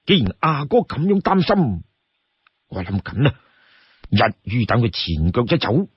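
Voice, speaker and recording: A man's voice: mid-range voice, big pitch swings, measured pace, husky, no room sound, dull audio, pitched below the performer's own voice, heavily acted. A man voicing an assured, loyal warrior who advises his sworn brother in a storybook tale.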